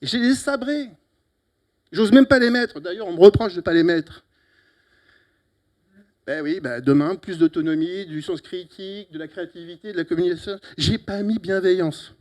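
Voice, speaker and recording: A middle-aged man from Italy with an Italian accent, giving a lively, teasing closing talk at a conference on a handheld mic, with sudden loud emphatic bursts. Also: high voice, normal speed. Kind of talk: lively, joking conference speech. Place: conference hall. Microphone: handheld microphone.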